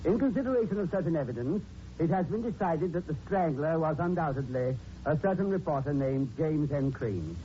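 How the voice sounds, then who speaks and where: An actor playing a pompous, solemn Lord Mayor declaiming a formal proclamation in 1940s radio drama style. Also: male voice, a little high, expressive, fairly quick, mellow, natural voice. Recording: dull hissy 1940s radio recording.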